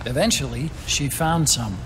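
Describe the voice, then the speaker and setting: An actor playing an earnest, brainy engineer and loyal ally in a video game, explaining things reflectively. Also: male voice, mid-range voice, moderate pace, music in the background, lightly acted, poor audio. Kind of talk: dramatic video game voice acting.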